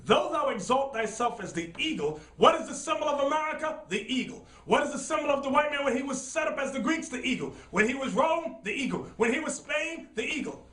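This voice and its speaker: Man, high lively voice, fiery bible teacher, roomy off-mic studio sound. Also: fairly quick, hardly any pauses, distant mic. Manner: shouts, repeats phrases, directs the reader. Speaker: preacher teaching from scripture. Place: studio set with dark backdrop.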